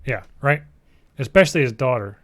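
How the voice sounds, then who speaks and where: A man's voice: mid-range voice, expressive, a little fast. A man co-hosting a gaming show, explaining and critiquing film trailers in a blunt, informal way. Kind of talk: casual explanatory trailer commentary.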